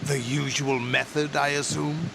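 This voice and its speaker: Gravelly, commanding villain leader. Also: male voice, a little high, flat delivery, unhurried. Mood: cold, commanding. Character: cold commanding terrorist leader, dismissive and calculating. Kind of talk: video game cutscene acting. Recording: noisy vehicle-like ambience behind.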